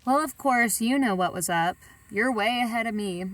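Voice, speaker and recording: A woman's voice: on the high side, normal speed, no echo, far from the mic, natural voice, low-quality recording. A woman playing a wary bank robber in an audio drama, with a colloquial, matter-of-fact way of talking. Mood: matter-of-fact, confiding. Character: Rose, wary female bank robber recounting her heist. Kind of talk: audio drama acting and first-person narration.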